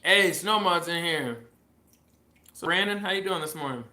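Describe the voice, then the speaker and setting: A congested man casually chatting on a livestream as host, showing his workshop and rambling in a relaxed way with slang. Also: high voice, normal speed. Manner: rambling, laid-back, with fillers and slang. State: congested and coughing. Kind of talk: casual livestream chat while showing a build. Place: home workshop or garage.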